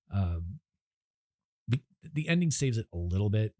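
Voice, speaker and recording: A man's voice: fairly low pitch, big pitch swings, slow pace, a little mellow, no room sound. A man co-hosting a podcast, giving blunt, opinionated movie reviews in casual chat. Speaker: podcast co-host.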